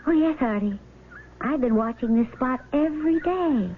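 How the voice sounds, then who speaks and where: An actress playing a domineering, calculating wife with a scornful, commanding way of talking, in 1970s radio drama style. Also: female voice, high-pitched, animated, normal speed. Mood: coldly vengeful. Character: domineering, vengeful rich wife, sharp-tongued and calculating. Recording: narrowband, noisy old radio broadcast recording.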